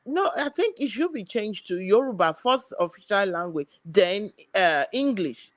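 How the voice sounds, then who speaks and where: A woman's voice: medium pitch, sing-song, normal speed, dry recording. A woman arguing on a live panel, hesitant with many fillers and restarts.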